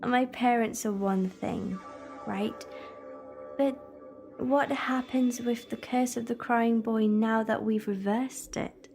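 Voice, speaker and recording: A woman's voice: on the high side, steady tone, takes it slowly, a little mellow, faint music behind. A young woman playing a plucky, outspoken time-traveller's companion in an audio drama, candid and questioning. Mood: hesitant.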